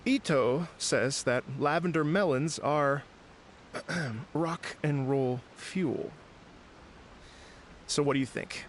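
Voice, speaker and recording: A man's voice: fairly high pitch, takes it slowly, long pauses, a little hiss or hum. A man playing an earnest, courteous and slightly hesitant festival organizer in a video game. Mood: courteous, explanatory. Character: earnest polite gentleman music festival organizer.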